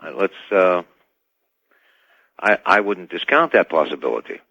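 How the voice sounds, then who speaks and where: Very deep, animated male radio guest. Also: gravelly, dry recording. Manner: measured, guarded. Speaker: radio guest, technical expert. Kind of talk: interview answers. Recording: dull band-limited radio sound.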